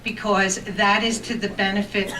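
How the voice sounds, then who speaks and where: A woman giving public comment at a township council meeting, pressing pointed questions with halting restarts and a big voice. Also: medium pitch, little pitch movement, a crowd in the background. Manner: says she has a big voice. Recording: echoey room, distant mic, background noise.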